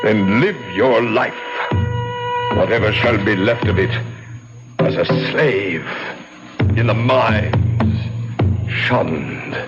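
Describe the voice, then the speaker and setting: An actor playing a commanding ancient priest of a sun god in a 1970s radio drama, speaking in formal, oratorical phrases. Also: male voice, fairly low pitch, takes it slowly, long pauses. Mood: stern, menacing. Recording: muffled 1970s radio broadcast, music behind.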